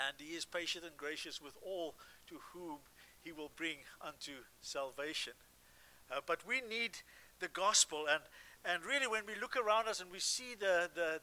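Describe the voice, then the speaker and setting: A man with a South African accent preaching a sermon as a guest pastor, earnest and halting, with frequent restarts and fillers. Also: high voice, pushed and bright, rough recording.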